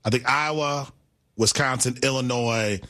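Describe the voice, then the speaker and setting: A man's voice: mid-range voice, a little slow, pushed and bright. A man from Milwaukee with a Midwestern accent, co-hosting a sports radio show in a laid-back, laughing way. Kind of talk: casual sports radio chat.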